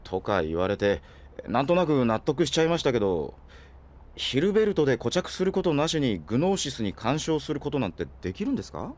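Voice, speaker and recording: Man acting a flustered, animated young researcher. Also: mid-range voice, fairly quick, close to an everyday voice. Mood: puzzled. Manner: hesitant, deferential, easily flustered. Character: flustered, earnest, timid young male junior researcher. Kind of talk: Japanese audio drama acting.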